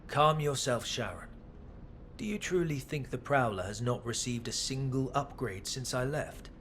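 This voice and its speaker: A man playing a composed, calculating scientist official in a video game, speaking in a measured, formal, condescending way. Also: mid-range voice, expressive, fairly bright tone, a little hiss or hum. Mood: condescending, persuasive. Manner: measured, formal, breathy in places. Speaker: voice actor. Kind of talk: dramatic game dialogue.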